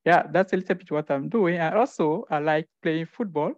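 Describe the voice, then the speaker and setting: A young man from Cameroon with a somewhat high voice presents himself as a research fellow. He speaks informally and haltingly, repeating words. The sound is close and dry but dull, like a video call. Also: no room sound. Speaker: physics research fellow introducing themself. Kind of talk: informal self-introduction at an online event.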